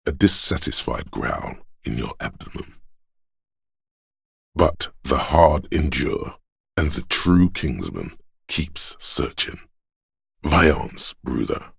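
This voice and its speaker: A stern, goading voice of bodily endurance from a video game. It is an exceptionally low bass, rough and gruff. Every phrase is weighty and deliberate, recorded close and dry. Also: male voice, dry recording, heavily acted. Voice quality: gravelly, husky bass. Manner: slow, deliberate, weighty delivery. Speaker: voice actor. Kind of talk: dramatic video game narration.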